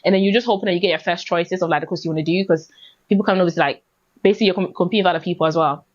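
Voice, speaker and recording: A woman's voice: on the low side, steady tone, very fast. Young british woman chatting on her podcast, casual storytelling, kinda muffled lo-fi audio. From born in Ghana, raised in the UK from age six. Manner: conversational, repeats and emphasises phrases, frequent "like" and "you know". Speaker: podcast host.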